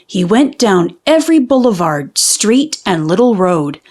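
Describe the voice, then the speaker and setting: Woman, children's book reader, very varied intonation, unhurried delivery, expressive storytelling, dramatic pauses. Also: mid-range voice. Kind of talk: animated storybook read-aloud.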